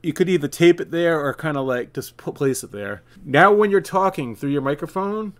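Higher-pitched man giving a casual tutorial. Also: lively intonation, normal speed. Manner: plain-spoken, instructional, addressing viewers directly. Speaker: video creator, tutorial presenter. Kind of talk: casual step-by-step tech tutorial. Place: indoor room, seated at a desk.

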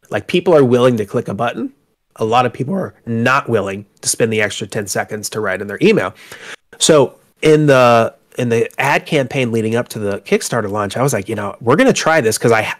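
A man with a congested, slightly sick voice, talking casually as a guest about running his tabletop game company, with many fillers and restarts. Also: mid-range voice. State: congested from a cold. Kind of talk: casual interview conversation. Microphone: home microphone on a call.

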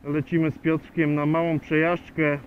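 A man's voice: fairly high pitch, level tone, moderate pace. A man riding a motorcycle, chatting casually to viewers with many hesitations and fillers. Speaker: motovlogger. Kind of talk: casual riding vlog narration. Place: on a motorcycle riding on a road outdoors. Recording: tinny lo-fi helmet mic with wind and engine noise.

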